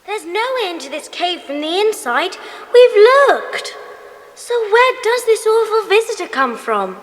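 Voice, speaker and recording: A woman's voice: very high voice, big pitch swings, measured pace, exaggerated voice. A woman playing an outspoken, anxious little schoolgirl in a children's audio drama, with emphatic, childlike delivery. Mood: alarmed. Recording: echoey hall, some noise.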